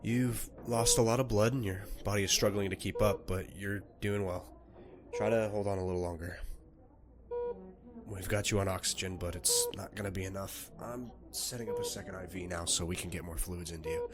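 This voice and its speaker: A man playing a calm emergency doctor in an audio roleplay, gentle and reassuring yet authoritative. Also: deep voice, moderate pace, lightly acted. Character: calm, reassuring male emergency doctor tending critical patient.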